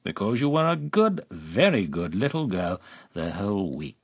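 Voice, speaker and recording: A man's voice: fairly low pitch, big pitch swings, moderate pace, slightly rough, no room sound, full character voice. A man playing a fawning, lecherous middle-aged official who turns harsh and scolding, read in a storybook. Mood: wheedling. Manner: wheedling, then harsh and scolding. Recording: dull lo-fi recording.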